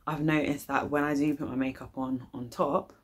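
Low-voiced young British woman, chatty. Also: steady tone, normal speed, breathy edge. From United Kingdom. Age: young adult. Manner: informal, chatty, slangy. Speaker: YouTube channel host. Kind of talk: casual vlog chat. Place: living room, indoors.

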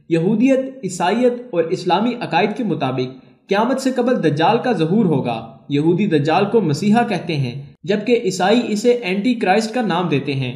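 A man reading a scripted documentary narration as a channel's narrator, in a formal, measured, evenly read manner. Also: high voice, a little mellow. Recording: echoey room.

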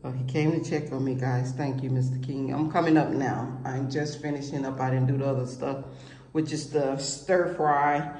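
A woman's voice: very deep voice, fairly even intonation, moderate pace, warm tone. A woman chatting casually to her viewers from behind the camera in her home kitchen, rambling through what she cooked. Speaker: cooking vlogger. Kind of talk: casual cooking vlog chat. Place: home kitchen table, indoors. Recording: echoey room with faint hiss.

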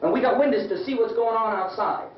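A man's voice: sits high, quick pace, a little hiss or hum, natural voice. An actor playing an earnest, reasonable young American man in an old horror film, a polite, pleading peacemaker. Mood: reasoning, worried. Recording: echoey, dull old film soundtrack, distant.

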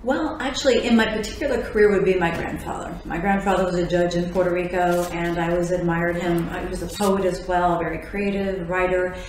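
A woman's voice: lowish voice, fairly even intonation, brisk, few pauses, slight background noise. Miami woman lawyer chatting, laughs a lot, voice bouncing round a bare office. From Miami, Florida. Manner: laughs easily, fillers between thoughts. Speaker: attorney and podcast host. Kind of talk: relaxed interview answers. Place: office lounge with large windows. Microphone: tabletop condenser mic. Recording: very echoey room, faint ambience.

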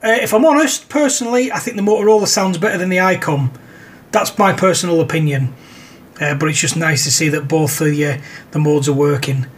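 A man's voice: fairly high pitch, brisk. A man with a British accent chatting casually as an amateur radio operator. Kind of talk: casual ham radio conversation.

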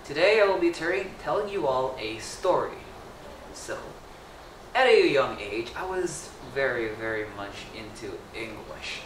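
A teenage boy whose first language is Indonesian, telling a story in a speech to a camera across a small room, animated and playful. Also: mid-range voice, a little slow. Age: teens. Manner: animated, gesturing, playful asides. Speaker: student storyteller. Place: small indoor room with wallpaper. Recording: echoey room, mic at a distance, faint noise.

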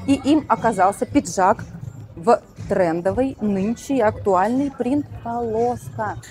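A woman's voice: a little high, darkish tone. A woman stylist explaining an outfit in a casual, conversational way to viewers. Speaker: stylist presenting her outfit. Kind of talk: casual explanatory fashion talk to camera.

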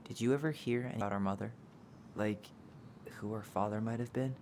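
Guarded young man, lowish blunt voice acted. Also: steady tone, brisk, darkish tone, a little hiss or hum, close to an everyday voice. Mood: subdued. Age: young adult. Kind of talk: video game voice acting.

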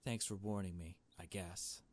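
A man voicing a shy, unsure, soft-hearted young woman in a visual novel, with a hesitant, questioning manner. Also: deep voice, fairly even intonation, measured pace. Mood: reluctant.

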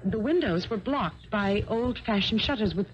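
An actress playing an anxious, genteel young heiress, earnest and formal, in 1970s radio drama style. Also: female voice, mid-range voice, expressive, moderate pace, close to an everyday voice. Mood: sombre, earnest. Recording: muffled, noisy 1970s radio recording.

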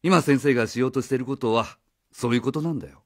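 A man playing a blunt, accusing outsider in a radio drama, confrontational and rough in his speech. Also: medium pitch, quick pace, lightly acted. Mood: sarcastic, accusing. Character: blunt, accusing male outsider challenging authority. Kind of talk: Japanese radio drama acting. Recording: faint music behind the voice.

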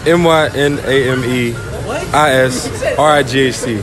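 A young man at an amusement park at night, slowly spelling a name out loud and getting confused. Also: medium pitch, steady tone. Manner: slow, careful spelling, gets confused. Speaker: passer-by. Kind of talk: casual street interview. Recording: music and crowd noise behind.

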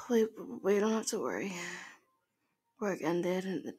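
A woman playing a tender, sleepy girlfriend who comforts her partner in a gentle, halting, hushed ASMR roleplay, often slipping into a whisper. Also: medium pitch, expressive, husky. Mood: sympathetic, reassuring.

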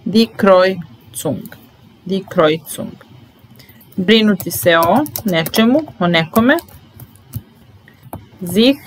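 A young woman teaching German vocabulary in a slow, deliberate drill, saying each word and repeating it calmly. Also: lowish voice, a little hiss or hum, rough recording. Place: small indoor room at a desk.

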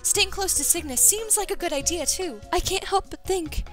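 A woman's voice: very high voice, moderate pace, a bit bright, soft background music. A woman voicing a cheeky young female ally in an anime-style game dub, playfully sarcastic. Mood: teasing, encouraging.

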